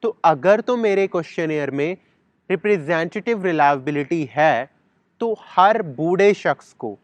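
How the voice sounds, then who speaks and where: A man's voice: fairly high pitch, expressive, measured pace, no room sound. A man, giving a sociology lecture and slipping English terms into his while repeating key words. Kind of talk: explanatory lecture. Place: outdoors in a garden.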